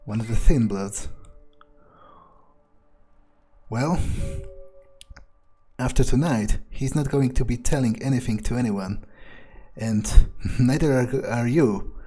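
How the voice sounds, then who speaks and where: A man's voice: mid-range voice, measured pace, mellow, slightly rough. A man playing a cold, thrill-seeking vampire vigilante, musing aloud in a sardonic way as he narrates a game. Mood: menacing. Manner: musing, hesitant, sardonic, swearing. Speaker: gamer role-playing a character in a playthrough.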